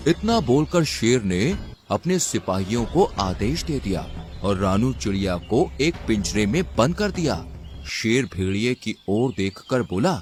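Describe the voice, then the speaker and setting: A man's voice: lowish voice, sing-song, measured pace, darkish tone, faint music behind. A man narrating a scripted children's story as the storyteller.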